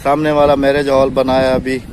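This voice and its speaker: A man's voice: mid-range voice, monotone, normal speed, darkish tone, a little hiss or hum. A man with a Pakistani Urdu accent vlogging from a rooftop, chatting to his viewers and teasing his wife. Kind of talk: casual vlog narration. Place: rooftop outdoors.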